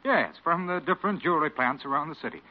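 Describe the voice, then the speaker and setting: Polite, slightly hesitant businessman patient for vintage radio drama: raised pitch, earnest, muffled sound. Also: lively intonation, quick pace. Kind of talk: 1940s radio acting. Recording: muffled old radio recording.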